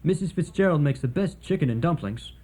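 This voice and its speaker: An actor playing a plain-spoken, stubborn working man with a husky voice in a radio drama. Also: on the high side, expressive, fairly quick, mellow, slight background noise, natural voice. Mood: appreciative.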